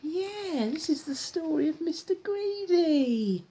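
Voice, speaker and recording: A man reading a storybook aloud to a child in an informal, encouraging, gentle way, with chatty asides. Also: very high-pitched, expressive, slow pace. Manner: encouraging, gentle, echoes the child's words back. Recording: home recording with faint background noise.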